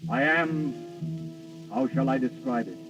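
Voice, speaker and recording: An actor playing Death as a calm, courtly otherworldly being, measured and formal in 1930s radio style. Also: male voice, medium pitch. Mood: courtly, ominous calm. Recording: muffled 1930s radio recording, distant, music.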